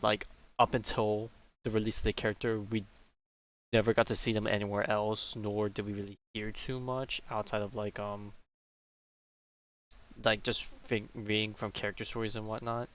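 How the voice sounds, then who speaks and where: A man's voice: on the low side, fairly bright tone, muffled recording. A man doing casual livestream gaming commentary, thinking aloud in a hesitant, rambling way. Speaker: game streamer. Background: game music.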